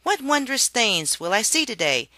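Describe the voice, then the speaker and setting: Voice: medium pitch, sing-song, moderate pace, sharp tone, no echo, clean studio sound, voice pushed up. A storybook reader voicing a naive young maiden who gushes with delight over nature. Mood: gushing delight. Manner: gushing, breathlessly delighted.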